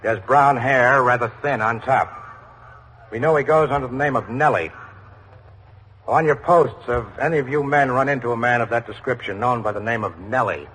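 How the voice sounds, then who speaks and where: A man's voice: mid-range voice, normal speed, fairly bright tone, lightly acted. A man playing a businesslike American police lieutenant in an old radio drama, speaking in terse, official phrases. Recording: noisy vintage radio drama recording.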